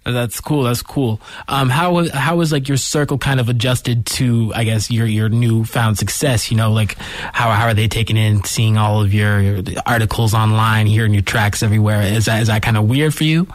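A young male host with an easygoing, casual manner who keeps the talk moving with short affirmations. His voice sits in a middle pitch. The sound is dry and close. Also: fairly even intonation, dry recording. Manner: easygoing, quick to affirm with "dope" and "mm-hmm". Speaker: interview host. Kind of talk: casual interview questions.

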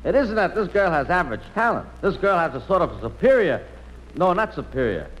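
Animated exasperated critic, old radio comedy. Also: male voice, sits high, brisk. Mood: halting, blunt. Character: exasperated, blunt male critic, fumbling for polite words. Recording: noisy old radio recording.

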